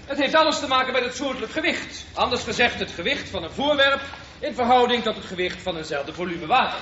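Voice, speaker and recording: An actor playing a brisk, teasing swimming instructor in a radio drama, commanding and jocular. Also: male voice, very high-pitched, animated, sharp tone, exaggerated voice. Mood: instructive. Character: brisk, teasing, bossy male swimming teacher. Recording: echoey, dull, noisy pool-like acoustic.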